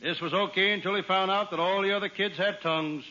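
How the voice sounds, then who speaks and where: Wisecracking radio private eye, deadpan; male actor, slightly high, on a muffled, hissing old broadcast. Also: fast talker, lightly acted. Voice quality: deadpan punchline delivery. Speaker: comic detective narrator. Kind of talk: 1940s radio comedy acting. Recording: dull old radio transcription with hiss.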